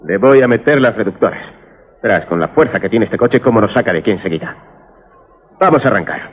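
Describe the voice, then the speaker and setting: A male actor as a hopeful, reassuring family man in a radio play, with a Spain accent. His low voice moves freely in pitch, on a thin recording with some background noise. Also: animated, quick pace, natural voice. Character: reassuring father and husband, stubbornly hopeful driver. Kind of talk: radio drama acting. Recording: noisy narrow-band old radio recording.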